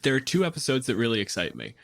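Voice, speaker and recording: A man's voice: medium pitch, huge pitch swings, racing, creaky, a bit bright. A man from Canada co-hosting a podcast in a conversational way. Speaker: podcast co-host, physicist.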